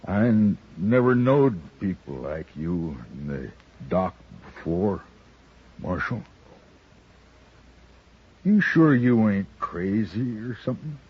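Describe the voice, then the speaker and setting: An actor playing a rough, bewildered outlaw in a radio western, with an ungrammatical American drawl. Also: male voice, lowish voice, very animated, full character voice. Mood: subdued, resigned. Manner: drawling, ungrammatical, halting speech. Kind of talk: 1950s radio western acting. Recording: muffled old radio recording, faint noise.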